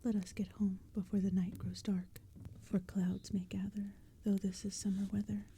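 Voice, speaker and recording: A woman reading poetry in a soft, breathy ASMR whisper, with a gentle, hushed storytelling manner. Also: mid-range voice, level tone, normal speed. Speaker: audiobook reader. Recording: faint ambient crackling fire and forest sounds. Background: crackling fire, forest ambience.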